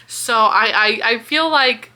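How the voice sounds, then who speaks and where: An American woman chatting casually as a book vlogger, excitable, dramatic and freely swearing. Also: fairly high pitch, slow. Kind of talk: casual reading reaction chat.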